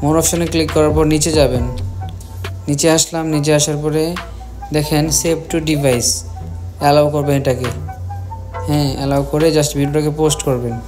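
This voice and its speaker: A man with a Bangladeshi accent giving step-by-step software instructions as a tutorial host, repeating his phrases as he goes. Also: a little high, level tone, moderate pace, pauses often. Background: music.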